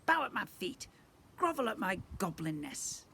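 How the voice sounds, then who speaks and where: A man putting on a squeaky goblin prince, round and pompous, with a shrill, lordly voice that leaps high. His lines swing wildly in pitch, with a gravelly edge under the squeak. It is a storybook read aloud. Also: very animated, a little slow, sharp tone, slight background noise, heavily acted. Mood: haughty. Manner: haughty, shrill, easily panicked. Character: tiny fat pompous male goblin prince.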